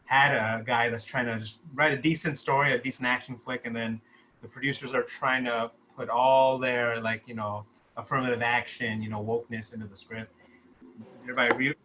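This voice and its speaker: A man's voice: medium pitch, fairly even intonation, normal speed, sharp tone, slight background noise, poor audio. A man talking casually as a writer explaining where a script came from. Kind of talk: casual chat.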